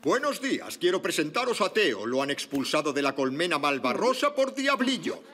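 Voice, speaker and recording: A man's voice: fairly high pitch, sing-song, normal speed, fairly bright tone, slight background noise. A man with a Castilian accent voicing a haughty, disapproving bee official in a cartoon dub. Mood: pompous. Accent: Castilian Spanish. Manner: haughty, indignant. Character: pompous, disapproving adult male bee official. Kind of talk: animated cartoon dub acting.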